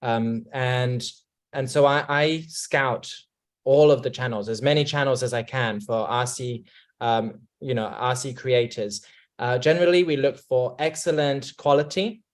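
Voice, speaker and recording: A man's voice: medium pitch, a little slow. A man from England, a company guest on a video call, speaking casually and hesitantly with many restarts.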